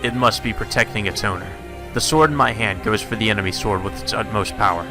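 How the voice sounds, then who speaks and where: A man's voice: on the low side, fast talker, over music. A man reading a visual novel aloud as narration, in the manner of a let's play host.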